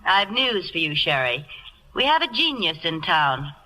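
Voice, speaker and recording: An actress playing a hard-bitten, wry and defiant secretary in love, in old-time radio comedy style. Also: female voice, fairly low pitch, very animated, unhurried, bright, forceful tone, full character voice. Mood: eager, teasing. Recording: noisy vintage radio broadcast recording.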